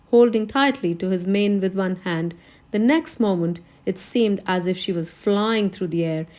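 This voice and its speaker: A woman narrating a children's novel as a read-aloud storyteller. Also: medium pitch. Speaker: storybook reader.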